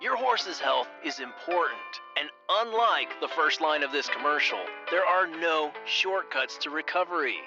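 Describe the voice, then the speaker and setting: A man playing an earnest small-town horse therapist pitching his clinic in a parody radio commercial. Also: animated, normal speed, few pauses. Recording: slightly dull, loud guitar music behind.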